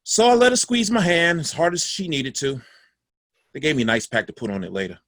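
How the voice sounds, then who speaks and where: A man's voice: on the high side, huge pitch swings, a little fast, fairly bright tone, heavily acted. An actor playing a dead father's ghost, a folksy, doting, guilt-ridden man telling his story in a confiding, emphatic way in a play reading. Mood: amused storytelling. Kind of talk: theatrical monologue in a stage play reading.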